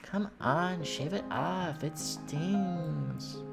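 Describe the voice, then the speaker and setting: A man's voice: on the high side, extremely slow, vocal fry, music in the background, voice pushed up, full character voice. A man voicing a weary, nagging mother in a visual novel. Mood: teasing.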